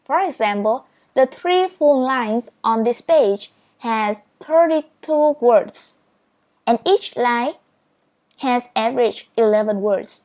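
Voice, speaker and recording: A woman whose first language is Vietnamese teaches speed reading in English. Her voice sits fairly high and she takes her time, talking slowly and patiently. She walks through each step in an encouraging manner. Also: animated, darkish tone. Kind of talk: step-by-step tutorial.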